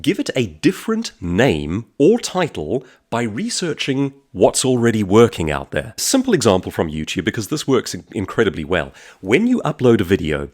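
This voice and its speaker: A man with a low voice delivers a motivational coaching monologue. His pitch moves dramatically, rising on questions and dropping on key words. The phrasing is emphatic and deliberate, like a speaker presenting points one at a time. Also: huge pitch swings. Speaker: author and speaker presenting a business advice talk.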